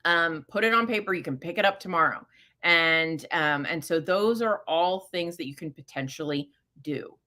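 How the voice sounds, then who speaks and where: A woman's voice: fairly low pitch, normal speed, pushed and bright. A middle-aged woman hosting a live question-and-answer session, talking casually with many fillers and self-corrections. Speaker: host answering listener questions.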